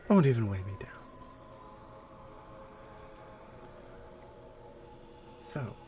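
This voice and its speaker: A woman's voice: low-pitched, darkish tone, slight background noise, exaggerated voice. A woman playing a big, strong Amazonian warrior in an ASMR roleplay, commanding and amused, with a teasing, condescending, self-assured way of talking. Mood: satisfied, teasing.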